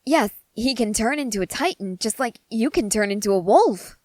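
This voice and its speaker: A woman playing an eager, excitable scientist soldier fascinated by creatures, speaking earnestly and emphatically to the listener in an ASMR roleplay. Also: on the high side, normal speed, no room sound, clean studio sound, close to an everyday voice. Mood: earnest reassurance.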